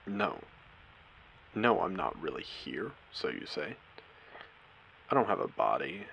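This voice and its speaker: A man playing a courteous, eerie AI who hosts an abandoned post-apocalyptic casino in an audio drama, speaking theatrically with deliberate pauses. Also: sing-song, fairly bright tone, slight background noise, heavily acted, poor audio. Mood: wistful, insistent. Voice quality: low, creaky voice. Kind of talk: scripted character audio drama monologue.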